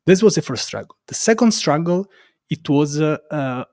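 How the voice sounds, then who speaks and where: A man from Italy with a strong Roman Italian accent, a non-native English speaker, talking casually as an interview guest in a halting, self-deprecating way and repeating himself. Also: a little high, lively intonation, darkish tone, no room sound, studio quality. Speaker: interview guest, developer advocate and content creator.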